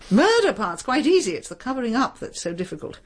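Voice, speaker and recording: A woman playing a scatty, opinionated middle-aged English crime novelist in a radio drama, husky and given to exclaiming. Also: fairly high pitch, sing-song, a little fast. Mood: musing, fretful. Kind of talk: British radio drama acting.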